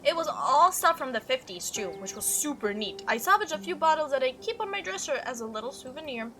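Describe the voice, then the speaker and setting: A woman voicing a chatty, excitable young female cryptid hunter from a visual novel, telling stories in an animated, gushing way. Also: high voice, a little fast. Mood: enthusiastic recollection. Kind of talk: visual novel character read-aloud.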